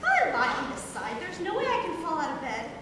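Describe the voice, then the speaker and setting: Woman playing a high-pitched sleepless girl, distant. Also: sing-song, moderate pace. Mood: restless, wondering. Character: sleepless, anxious, daydreaming girl thinking aloud at night. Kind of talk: amateur stage play monologue. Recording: echoey hall, distant mic, audience noise.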